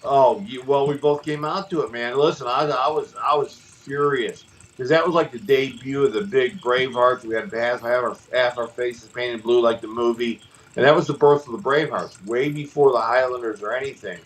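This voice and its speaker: A middle-aged man and former wrestler hosting a podcast, telling stories in a rambling, animated way with lots of restarts. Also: mid-range voice, normal speed, low-quality recording. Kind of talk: casual storytelling chat.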